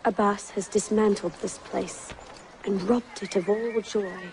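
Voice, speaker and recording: A woman's voice: medium pitch, steady tone, measured pace, a little hiss or hum, lightly acted, rough recording. A woman playing a wise, steadfast wife of an assassin master in a video game, giving earnest, formal counsel with composure. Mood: calm, earnest. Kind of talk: dramatic video game cutscene acting. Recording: loud music over the dialogue.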